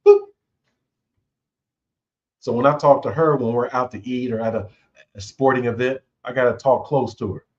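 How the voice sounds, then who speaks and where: A man's voice: mid-range voice, normal speed, long pauses, darkish tone, highs cut off. A man hosting a casual talk on leadership who speaks conversationally and keeps checking in with "right?". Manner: conversational, repeats "right?" often. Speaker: host.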